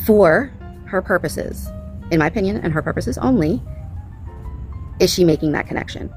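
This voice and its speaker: A woman's voice: fairly low pitch, animated, normal speed, a little mellow, soft background music. A woman hosting a reaction channel, speaking casually and with strong opinions, leaning on repetition and rhetorical questions. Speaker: commentary channel host reacting to clips.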